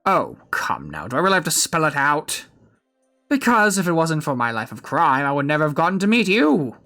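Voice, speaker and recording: A man voicing an aged gentleman villain from a game story, gleefully wicked and sentimental, in a pompous theatrical manner. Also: fairly high pitch, huge pitch swings, a little fast, faint music behind, exaggerated voice. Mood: fond, teasing. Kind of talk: dramatic reading of game story dialogue.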